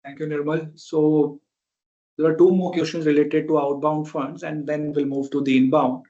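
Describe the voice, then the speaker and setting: A man's voice: a little high, little pitch movement, normal speed, dark tone. A man with an Indian accent moderating a conference call and reading questions aloud. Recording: dull, lo-fi conference call audio.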